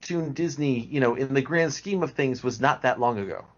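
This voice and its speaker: A man's voice: mid-range voice, moderate pace. A man speaking as a podcast guest, explaining things in a casual, talkative way. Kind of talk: casual fan discussion. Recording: dull lo-fi audio.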